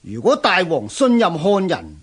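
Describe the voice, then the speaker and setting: A man's voice: high-pitched, huge pitch swings, a little slow, breathy edge, heavily acted. An actor playing a respectful, earnest Chinese general advising his lord in a radio drama, with a Hong Kong Cantonese accent. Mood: earnest, persuasive. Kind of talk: historical radio drama acting.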